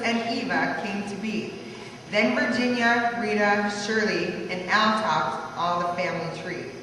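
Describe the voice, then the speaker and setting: A woman reading a rhyming family life story aloud, now and then stumbling over the words. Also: mid-range voice. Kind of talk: rhyming verse read aloud. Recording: echoey hall from far off, faint noise.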